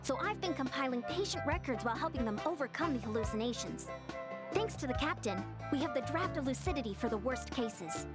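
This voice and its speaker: A woman voicing an energetic young woman coach and healer in a game, bright and lively, over loud game music. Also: high-pitched, a little fast. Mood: earnest.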